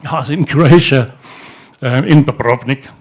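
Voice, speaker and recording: A man's voice: medium pitch, measured pace. An older man telling stories to an audience at an indoor event, speaking into a handheld microphone, rambling with many restarts and fillers. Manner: gestures with his free hand while he talks. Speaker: entrepreneur speaking at a society event. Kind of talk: informal speech to an audience. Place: indoor event room in front of a banner.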